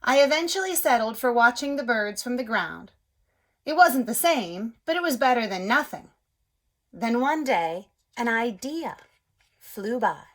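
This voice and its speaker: Timid storybook egg, gentle and fairly high, hushed when scared; a woman reads him in her own voice. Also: lively intonation, measured pace. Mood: wistful. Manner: soft storytelling, whispers at scared moments. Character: young male egg, timid but hopeful.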